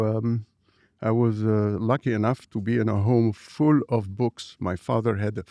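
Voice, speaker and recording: Egyptian-accented scientist in his fifties, low gravelly voice, halting delivery with fillers, dry studio sound. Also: darkish tone, no room sound. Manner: hesitant, many fillers and restarts. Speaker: scientist guest. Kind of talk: interview conversation. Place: dark studio. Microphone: boom microphone on arm.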